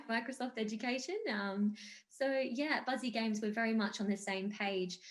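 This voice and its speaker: An enthusiastic woman in her thirties talks on an online panel, her voice at a middle pitch. She speaks fluently, with some fillers, over a video-call line. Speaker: panelist, game company founder.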